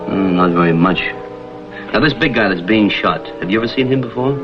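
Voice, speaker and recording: An actor playing a wry, laconic private detective in a vintage screen drama. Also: male voice, on the low side, fairly quick, close to an everyday voice. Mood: easygoing. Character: wry, cool private detective, adult man. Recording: dull vintage TV soundtrack, background music.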